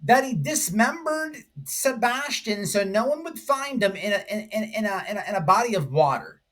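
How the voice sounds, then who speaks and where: A man's voice: sits high, animated, normal speed, a bit bright. Emphatic man doing casual commentary. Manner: emphatic, slangy, repeatedly checks in with "right?". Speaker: YouTube commentary host. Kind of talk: informal true crime video commentary.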